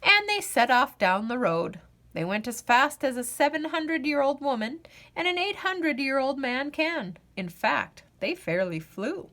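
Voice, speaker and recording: A woman reading a children's storybook aloud as a storyteller, in a deliberate, dramatic, expressive way. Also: high-pitched. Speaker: storyteller, host of a read-aloud show.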